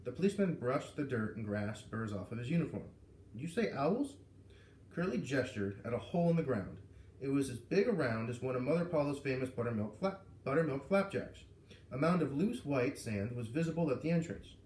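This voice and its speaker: A man reading a story aloud in an expressive, informal way, narrating like a storybook reader. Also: medium pitch, fairly quick, slight background noise. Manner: animated, informal asides. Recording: echoey room, far from the mic.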